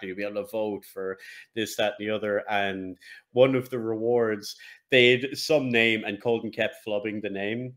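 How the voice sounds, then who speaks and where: A man's voice: fairly low pitch, a bit bright. A man co-hosting a casual podcast, chatty and teasing, often laughing. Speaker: podcast co-host.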